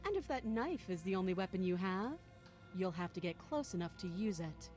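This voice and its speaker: An actress voicing a chained princess in a game, cool, taunting and manipulative. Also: female voice, fairly low pitch, lively intonation, brisk, a little mellow, soft background music, natural voice. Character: chained princess, calm, menacing, manipulative young woman.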